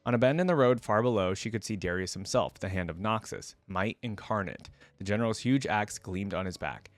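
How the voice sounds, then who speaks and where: A man with a fairly low voice, recorded very dry with little room around it. He talks like a casual reaction creator, chatting through his thoughts and reading story text aloud in the same easy register. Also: expressive, brisk, dry recording.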